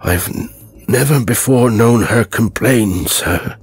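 A man's voice: medium pitch, takes it slowly, breathy edge, pitched above the performer's own voice. A man voicing a frightened, downcast old manservant in an anxious, pleading manner for a horror story. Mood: hushed, anxious.

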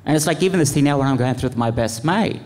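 A man speaking informally as a panelist, earnest and impassioned, in a halting, rambling way. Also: mid-range voice, darkish tone. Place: large event venue, live panel. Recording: echoey hall with long reverb.

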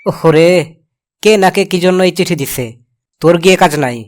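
A man's voice: high-pitched, normal speed, faint music behind, natural voice. A man voicing a brusque, dry village uncle in a comedy cartoon, with a colloquial Bangladeshi accent. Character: gruff, grudging village uncle looking after nephew.

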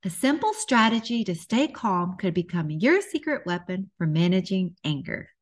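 A woman, middle-aged, reading a children's story aloud with expressive, deliberate delivery for young listeners. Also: on the low side.

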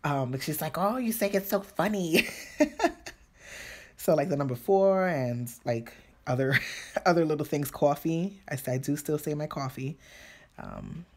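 A woman's voice: low-pitched, a little slow, a little mellow. A woman from New York City with a New York accent and Spanish as her first language, chatting and laughing as she paints on a live stream. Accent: New York, Dominican Spanish-influenced. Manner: chatty, laughs often, talks while working. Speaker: painting tutorial host. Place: indoors at a home painting desk.

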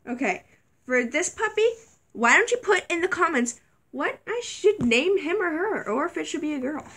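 A young woman vlogger chatting casually while unboxing toys, a little sick, her voice wearing thin, squealing excitedly at surprises. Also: high voice. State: slightly sick, voice going. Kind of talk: casual toy unboxing chat. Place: dim bedroom, indoors.

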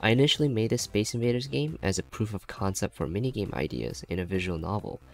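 Low-voiced man narrating, slightly gravelly. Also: fast. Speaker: YouTube game developer presenter. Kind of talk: scripted tutorial narration. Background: faint background music.

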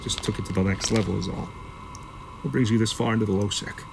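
Gruff low-voiced shopkeeper played by a man. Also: fairly even intonation, quick pace, background noise. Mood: matter-of-fact, jaded. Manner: gruff, profane, offhand storytelling. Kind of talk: sci-fi audio drama acting.

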